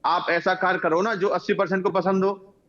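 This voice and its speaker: A man's voice: sits high, little pitch movement, brisk, fairly bright tone. A man arguing as a debate panelist in an accusatory, insistent way over dull call-in audio. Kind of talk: heated political argument.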